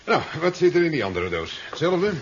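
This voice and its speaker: An actor playing a brisk, sardonic professor with a wry manner in a 1970s science fiction radio play. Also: male voice, fairly high pitch, big pitch swings. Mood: teasing, curious. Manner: wry, chuckling, ends remarks with questioning tags. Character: brisk, sardonic male professor in his sea-side laboratory. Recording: dull, noisy 1970s radio recording.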